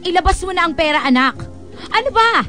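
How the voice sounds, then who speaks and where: A woman's voice: very high voice, lively intonation, fairly quick, sharp tone, soft background music, exaggerated voice. An actress playing a long-suffering, submissive mother in a Filipino radio drama, pleading and flustered, with a Filipino accent. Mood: stern, upset.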